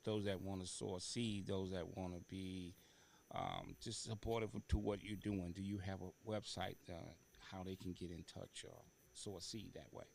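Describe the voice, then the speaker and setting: A man hosting a talk show in a conversational interviewing style, often halting as he searches for words. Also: deep voice, steady tone, vocal fry, darkish tone. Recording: noticeable background room noise.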